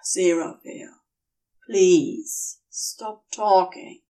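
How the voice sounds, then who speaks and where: A woman's voice: on the low side, steady tone, extremely slow, mellow, recorded from a distance. A woman voicing a tense, protective male demon in an audiobook, curt and restrained yet tender. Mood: strained pleading.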